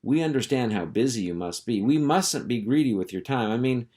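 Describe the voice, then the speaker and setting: A man's voice: medium pitch, expressive, brisk, dark tone, close to an everyday voice. A man voicing a fussy, genteel female dog, a long-suffering sister and mother in a storybook, sighing and overly polite. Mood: anxiously polite.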